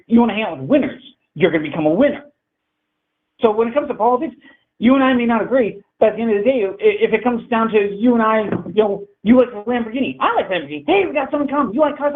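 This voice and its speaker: A man in his thirties argues politics over a video call, blunt and prone to repeating himself. His voice sits a little high. The call audio comes through thin and phone-like. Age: 30s. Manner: blunt, repetitive, talks over the other speaker. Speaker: caller debating the host. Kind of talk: heated political debate.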